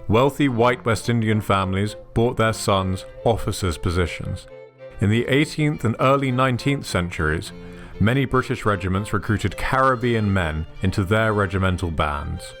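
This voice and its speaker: A man giving measured, scripted documentary narration. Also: on the low side. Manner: measured, even documentary delivery. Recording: soft music underneath.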